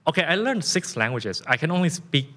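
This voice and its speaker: Man, thai accent, animated, laughing, restarts, casual chat guest. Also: on the high side, a little fast.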